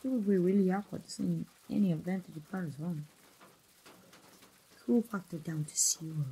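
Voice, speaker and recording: A young woman voicing an engaged woman confiding in an old friend, in a soft, breathy, close ASMR roleplay style. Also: on the low side, normal speed, rough recording. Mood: playful, teasing. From Mexico.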